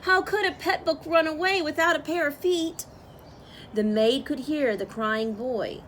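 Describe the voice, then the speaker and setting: A woman reading a picture book aloud as a storyteller, with expressive, drawn-out phrasing for young listeners. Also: high-pitched, a little hiss or hum. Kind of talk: expressive children's read-aloud narration.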